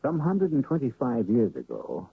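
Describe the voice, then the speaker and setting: A man opening a radio mystery drama as host, with grave, deliberate narration. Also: mid-range voice, huge pitch swings, creaky, slight background noise. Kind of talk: scripted narration. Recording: tinny lo-fi old radio broadcast.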